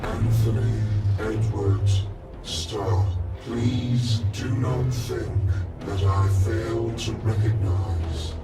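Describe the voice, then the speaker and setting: Cold machine villain, super slow, lowish voice, echoey and far off over loud music. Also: male voice, fairly even intonation. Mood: menacing. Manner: slow, menacing, measured. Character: cold vengeful AI villain, man.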